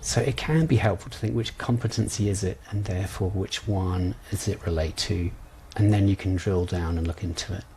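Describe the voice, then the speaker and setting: A man's voice: low-pitched, fairly even intonation, fairly quick, few pauses, warm tone, slight background noise. A man working as a coach trainer, leading an informal online lesson in a conversational way, often hesitating as he thinks aloud. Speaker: coach trainer leading exam preparation session.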